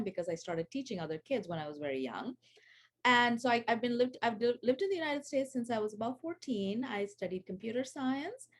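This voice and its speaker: Chatty woman from Sri Lanka, higher voice. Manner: chatty, many fillers and restarts. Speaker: guest, AI education founder. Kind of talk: casual interview over video call.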